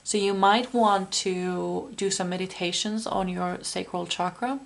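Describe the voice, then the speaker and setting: A woman with a gravelly, creaky voice that is going hoarse as she speaks. She gives a casual tarot reading in a meandering, chatty way, talking straight to the viewer at a middling pitch. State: hoarse, losing her voice. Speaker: tarot reader.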